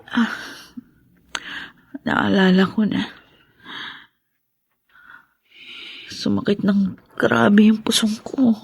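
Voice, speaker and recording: An actress playing a distraught, bitter young pregnant wife in a melodramatic radio drama style. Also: female voice, fairly high pitch, gravelly, slightly rough, full character voice. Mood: weak, anxious. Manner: emotional, melodramatic. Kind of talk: Tagalog radio drama acting.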